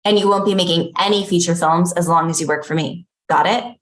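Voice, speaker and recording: A woman's voice: fairly low pitch, brisk, lightly acted. A woman playing a controlling, self-important ad agency boss in a comedy table read, curt and commanding with a touch of pomposity.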